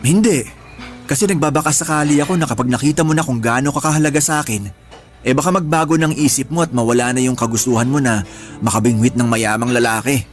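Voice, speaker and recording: An actor plays a devoted young man courting with stubborn sincerity. His medium-pitched voice is earnest and pleading, in radio-drama style, with light music behind it. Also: quick pace, lightly acted. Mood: hopeful, coaxing. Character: devoted, persistent, earnest young male suitor. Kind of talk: radio romance drama acting. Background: background music.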